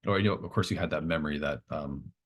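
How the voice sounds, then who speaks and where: Male game master, very deep voice, improvised tabletop narration, halting, tentative phrasing. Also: husky.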